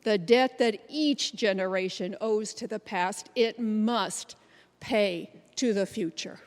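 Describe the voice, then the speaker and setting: A woman's voice: medium pitch, slow pace. An American woman performing a scripted reading in a readers theater. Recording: reverberant hall recording.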